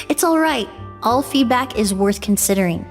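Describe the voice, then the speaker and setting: An actress playing a composed young perfumer and investigator who explains things in a calm, measured way. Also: female voice, fairly high pitch, soft background music. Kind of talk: game character dialogue.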